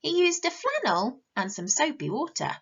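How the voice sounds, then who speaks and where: A woman teacher reading a picture book to children, animated and asking them questions, often dropping to a whisper. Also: high-pitched, normal speed, vocal fry, muffled recording.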